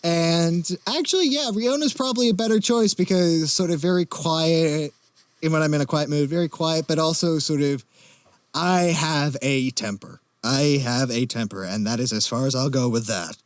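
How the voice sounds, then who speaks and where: A young man who works as a voice actor, answering casually as an interview guest in an articulate way with wry humor and thoughtful pauses. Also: on the high side, no room sound. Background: music.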